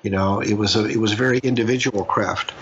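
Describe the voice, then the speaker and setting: A man's voice: on the low side, steady tone, quick pace, fairly bright tone, lo-fi sound, slight background noise. An older man from the United States answering interview questions in a reflective, rambling way, often restarting his sentences. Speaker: guest.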